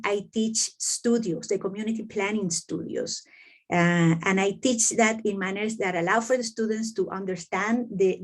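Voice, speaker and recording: Middle-aged woman from Venezuela, halting. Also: medium pitch, darkish tone. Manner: hesitant, many fillers and restarts. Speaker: professor presenting to admitted students. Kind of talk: online info session talk.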